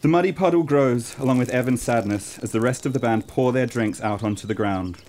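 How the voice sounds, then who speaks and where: A man's voice: mid-range voice, brisk, a little mellow, a little hiss or hum. A man narrating a scripted comedy radio play in a mock-serious storytelling manner.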